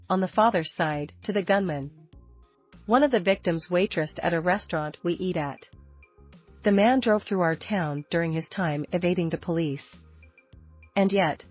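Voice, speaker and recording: A woman narrating forum posts in a steady, even-paced scripted reading. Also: on the low side. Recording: dull lo-fi audio with faint music.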